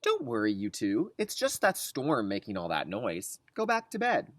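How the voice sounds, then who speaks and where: An adult reader voicing a calm, reassuring mother of young twins in a picture book. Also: mid-range voice, normal speed. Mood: soothing.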